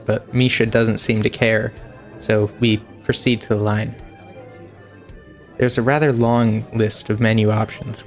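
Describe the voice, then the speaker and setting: A young man streaming, reading a visual novel aloud in a relaxed, casual way. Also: on the low side. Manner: relaxed, casual, giggly asides.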